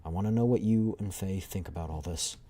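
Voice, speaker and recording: Dude reading a calm formal girl squad leader in a game, real low and even. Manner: measured, formal.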